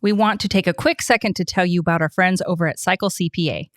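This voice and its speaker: A woman's voice: fairly low pitch, quick pace, dry recording, studio quality. A woman reading a scripted podcast sponsor message. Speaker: sponsor ad reader. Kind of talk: scripted ad read.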